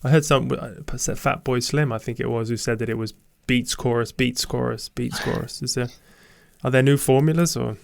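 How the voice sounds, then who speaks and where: A male podcast host with a gravelly yet resonant voice asks curious questions about song structure. His voice is recorded dry and close, with no room echo. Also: mid-range voice, fairly even intonation, moderate pace. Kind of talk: interview questions.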